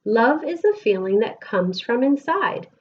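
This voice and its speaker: A woman with an American accent reads a picture book aloud to children with expressive, deliberate storybook phrasing, like a schoolteacher. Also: a little high, breathy edge, dull audio. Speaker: elementary school teacher reading aloud.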